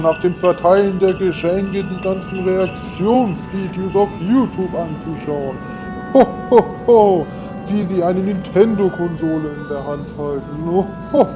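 A man's voice: sits high, takes it slowly. A man playing a jolly Santa Claus in a comic Christmas audio drama, with hearty ho-ho-hos scattered through the talk. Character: jolly male Santa Claus who chuckles ho-ho. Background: music.

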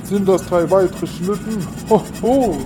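A man's voice: high voice, measured pace, poor audio. A man playing a jolly Santa Claus in a comic Christmas audio drama, with hearty ho-ho-hos scattered through the talk. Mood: puzzled. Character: jolly male Santa Claus who chuckles ho-ho. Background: music.

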